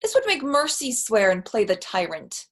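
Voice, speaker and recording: A woman's voice: fairly high pitch, huge pitch swings, moderate pace. A woman acting a stern, exasperated magistrate in a staged Shakespeare reading, commanding and scolding.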